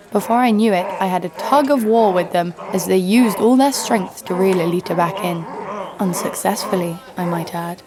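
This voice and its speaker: An actress playing a ruthless, sardonic assassin in a narrated audio drama, deadpan and menacing. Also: female voice, mid-range voice, natural voice. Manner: menacing, deadpan, foul-mouthed. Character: ruthless, sardonic woman assassin, destroyer of cities. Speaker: lead actor and narrator. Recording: music behind the voice.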